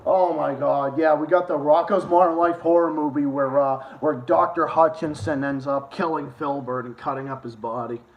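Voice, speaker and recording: Male co-host, slightly high voice, crude rambling chat, roomy dull home mic. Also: fairly even intonation, normal speed, hardly any pauses. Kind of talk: casual livestream chat. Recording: echoey room, dull lo-fi sound.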